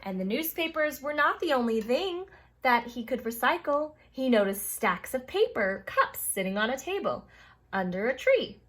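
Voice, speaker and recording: A woman reading a picture book aloud to young children, expressive, with chatty exclaiming asides to her listeners. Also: high-pitched, moderate pace. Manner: expressive, addresses children, exclaims and reacts to the story. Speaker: children's storytime reader.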